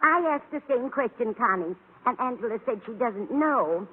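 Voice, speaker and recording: A woman's voice: on the high side, expressive, normal speed, husky. An actress playing a sweet, forgetful older landlady who dithers absent-mindedly in an old radio sitcom. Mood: sweetly earnest. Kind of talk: 1940s-50s American radio sitcom acting. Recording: tinny, heavily noisy old radio recording.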